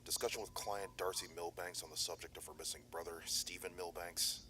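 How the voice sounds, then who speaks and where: A man voicing a steady private detective from an analog horror tape, his voice extremely low and his delivery quick but formal. He stays measured and reassuring, with faint noise under the recording. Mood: calm. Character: calm male private detective, steady, methodical. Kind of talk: analog horror audio drama.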